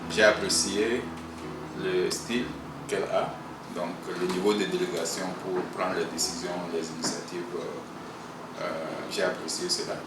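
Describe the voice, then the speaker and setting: A senior manager speaking slowly and deliberately in a testimonial. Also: male voice, sits low, flat delivery, very noisy. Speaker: senior manager giving a tribute. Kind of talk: recorded testimonial. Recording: echoey, distant, loud music.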